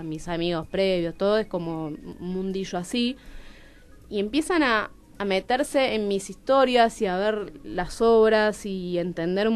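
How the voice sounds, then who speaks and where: A woman's voice: medium pitch, smooth. A woman with a Rioplatense Argentine accent, telling personal stories expressively as a guest in a radio interview. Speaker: playwright and radio show guest. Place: radio studio.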